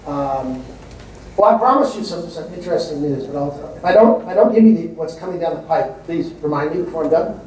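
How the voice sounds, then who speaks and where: A man's voice: fairly high pitch, expressive, a little fast, a little mellow, slight background noise. A male physician giving a conference lecture to a large audience, emphatic and conversational, repeating words for stress. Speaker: physician presenter. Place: large hall before an audience. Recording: echoey hall from far off.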